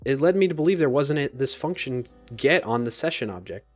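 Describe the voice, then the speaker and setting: Man, mid-pitched voice, live-coding streamer, casual, thinking aloud, restarts sentences, faint music behind. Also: expressive, fairly quick. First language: English. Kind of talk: live coding stream chat, reading viewer comments aloud.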